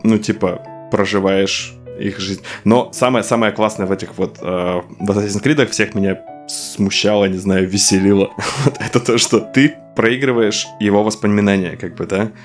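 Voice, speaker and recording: A man's voice: lowish voice, steady tone, moderate pace, faint music behind. A man co-hosting a casual game podcast, telling playful stories and laughing as he talks. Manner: playful storytelling, laughs while talking. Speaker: podcast co-host, anime expert. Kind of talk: casual chat about games.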